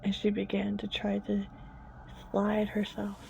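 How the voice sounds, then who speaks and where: Woman reading a suspense story real slow, soft mellow tone, halting phrases, bit muffled, music behind. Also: medium pitch, monotone, gravelly, a little hiss or hum. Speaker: storyteller, host. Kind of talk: dramatic story narration.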